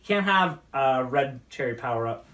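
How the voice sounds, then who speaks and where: A man's voice: mid-range voice, lively intonation, moderate pace, a bit bright. A chatty, enthusiastic man hosting a casual talk show, bantering as he mixes drinks. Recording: roomy, distant mic with noise and clicks.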